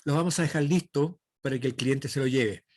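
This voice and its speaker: A man's voice: medium pitch, a little fast, darkish tone, no room sound, clean studio sound. A man giving a woodworking lecture online, explaining step by step and often checking in with his students. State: dry throat. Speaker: woodworking instructor.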